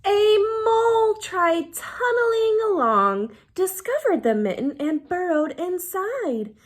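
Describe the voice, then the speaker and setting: Lady doing storytime for little kids, really animated pitch swings, takes it slow. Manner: expressive, drops to hushed excited asides with audible gasps. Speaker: teacher reading a storybook to children. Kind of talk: animated read-aloud storytime with asides to young listeners.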